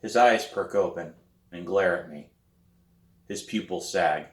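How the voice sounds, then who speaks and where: A man reading a children's novel aloud as its author, in an animated storytelling manner. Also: sits low, unhurried. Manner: animated, acts out dialogue. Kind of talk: expressive audiobook-style read aloud of a children's novel. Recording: roomy echo.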